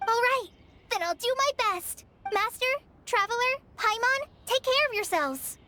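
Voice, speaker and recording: A woman's voice: very high voice, lively intonation, normal speed, heavy background noise. A woman voicing an earnest, polite young girl apprentice healer in a video game, youthful and a little hesitant. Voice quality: youthful, girlish. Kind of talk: video game character acting. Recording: music playing behind the voice.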